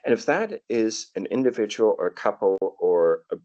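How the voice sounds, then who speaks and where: Canadian guy in his middle years telling stories on a podcast, low voice that swings around a lot, dead dry sound. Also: sing-song, normal speed, dark tone, no echo, muffled recording. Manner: thoughtful, storytelling, repeats words at starts. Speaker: guest, tour guide. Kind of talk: casual podcast interview.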